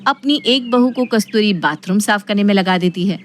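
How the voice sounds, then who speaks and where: A woman's voice: mid-range voice, moderate pace, a little hiss or hum. A woman narrating a scripted family story.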